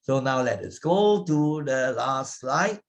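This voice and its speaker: Slow, animated Malaysian man teaching. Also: mid-range voice. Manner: didactic, deliberate, emphasizes and repeats key words. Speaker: Buddhist Sunday school teacher. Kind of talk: explanatory moral lesson over slides.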